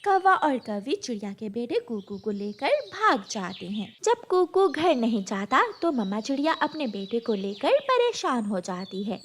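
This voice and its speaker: A woman's voice: on the high side, a little hiss or hum. A woman narrating a scripted cartoon story as the storyteller.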